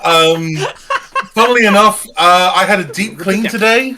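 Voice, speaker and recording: Joking, higher-voiced man in group banter. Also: background noise. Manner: joking, halting, stumbles over words. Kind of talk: casual comic banter.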